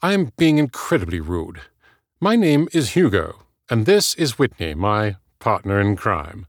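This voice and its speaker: A man voicing a boisterous, elegant British gentleman in an audiobook, with a smooth, theatrical, effusive delivery. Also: on the low side, very animated, a little slow, no echo, clean studio sound, full character voice.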